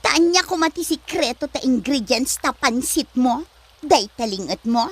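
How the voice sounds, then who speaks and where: A woman's voice: sits high, lively intonation, measured pace, husky, dry recording, full character voice. A woman playing a haughty, ambitious young woman in an Ilocano comedy drama, sassy and disdainful, with an Ilocano accent. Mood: disgusted.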